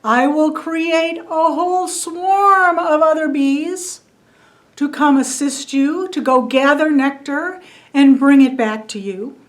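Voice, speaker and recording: A woman voicing a haughty, sly male king of the gods who speaks in a pompous, slow, deliberate way. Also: high voice.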